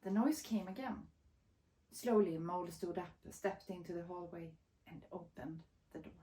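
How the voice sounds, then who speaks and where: A woman, reading a picture book aloud as expressive storybook narration. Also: on the low side, moderate pace, echoey room. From Sweden. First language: Swedish.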